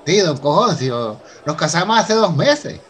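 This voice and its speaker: A Venezuelan actor playing a polite, earnest professor in a radio soap opera, explaining things with hesitant stammers. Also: male voice, on the high side, sing-song, measured pace, fairly bright tone. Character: polite, earnest university professor and new son-in-law.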